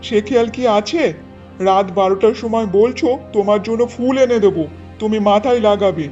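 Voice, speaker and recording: Man voicing a high-voiced henpecked cartoon husband. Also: brisk, dark tone, lightly acted. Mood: irritated. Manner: grumbling, fed up. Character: exasperated, henpecked village husband. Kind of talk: Bengali cartoon voice acting. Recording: dull lo-fi track with music bed.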